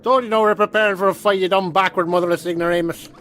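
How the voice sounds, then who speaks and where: An actor playing a hot-tempered, foul-mouthed Irish boxing trainer in a comedic radio play, ranting in colourful insults. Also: male voice, sits high, steady tone, quick pace, a bit bright, a little hiss or hum. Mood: indignant.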